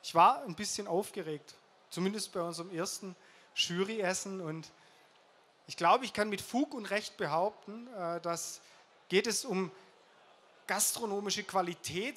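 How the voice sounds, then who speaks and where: A man in his forties giving a halting, earnest speech on stage at an awards gala, speaking into a handheld microphone in a large hall. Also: sits high, a bit bright. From southwestern Germany. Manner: earnest, halting, with fillers and restarts.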